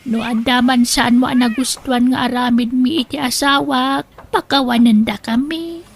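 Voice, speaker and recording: A woman's voice: a little high, steady tone, moderate pace, vocal fry, slightly rough. A woman with an Ilocano accent playing an emotive village wife and mother in a theatrical audio drama style. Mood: admiring, apologetic.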